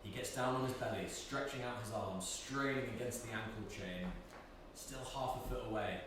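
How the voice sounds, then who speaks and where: A man's voice: on the low side, moderate pace. A man giving scripted narration, reading out stage directions for a play. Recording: very echoey, distant mic, noisy.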